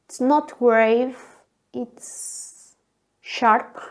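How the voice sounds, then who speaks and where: A woman with a thin, girly voice, hoarse from a cold, chatting alone on camera and often searching for words. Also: a little high, fairly even intonation, drawn-out words. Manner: hesitant, searching for words, frequent fillers. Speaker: vlogger. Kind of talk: casual solo chat.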